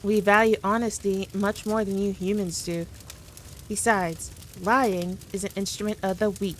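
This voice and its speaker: A woman acts out a proud, playful demon queen in an audio roleplay. She speaks straight to the listener with condescending, teasing authority, at a middling female pitch, over faint background noise. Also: steady tone, moderate pace, close to an everyday voice. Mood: proud, matter-of-fact. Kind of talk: scripted roleplay monologue addressed to the listener.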